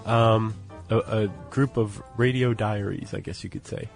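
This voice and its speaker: A man's voice: on the low side, steady tone, a little slow, gravelly, faint music behind. An American man hosting a podcast in casual conversation, with wry, playful asides. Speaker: podcast co-host.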